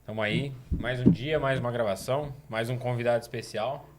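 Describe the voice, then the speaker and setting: A man's voice: medium pitch, steady tone, fairly quick, very noisy. A Brazilian man co-hosting a podcast, joking and using slang with religious asides. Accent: Brazilian Portuguese. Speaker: podcast co-host. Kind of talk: casual podcast chat.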